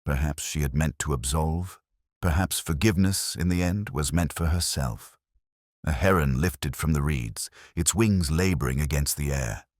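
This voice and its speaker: A man playing a melancholy, reflective police detective, narrating an audio drama in a measured, literary manner. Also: extremely low pitch, a little mellow, no room sound, clean studio sound. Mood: regretful, then pensive. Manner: measured, literary, brooding delivery. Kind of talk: scripted first-person audio drama narration.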